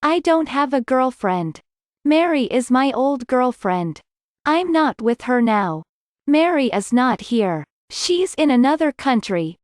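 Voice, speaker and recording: A woman reading scripted English lesson dialogue, slowly and clearly, in carefully spaced sentences. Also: high-pitched, big pitch swings, no room sound, studio quality.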